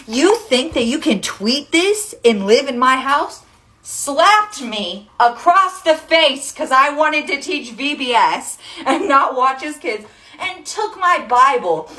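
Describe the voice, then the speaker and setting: A woman's voice: sits high, very animated, slow, pushed and bright. A woman casually telling a personal story for a social video.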